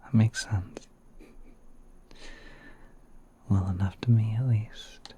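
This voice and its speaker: A man voices a gentle spider prince, relaxed and hospitable, in an ASMR roleplay. He murmurs in a dark, velvety whisper with very little rise and fall. His delivery is polite and musing, with noticeable noise behind the voice. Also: lowish voice, dull audio, natural voice. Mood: gently musing. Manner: soft-spoken, unhurried, polite, musing.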